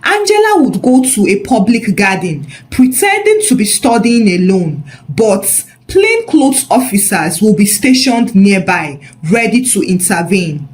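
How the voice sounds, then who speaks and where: A woman narrating a scripted story in a measured, expressive, dramatic way. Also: medium pitch.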